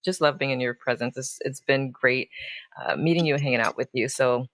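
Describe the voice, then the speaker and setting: A woman hosting a casual interview show, effusive in her manner and quick to laugh. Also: rumbling low, no echo. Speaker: show host. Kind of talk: warm casual interview chat.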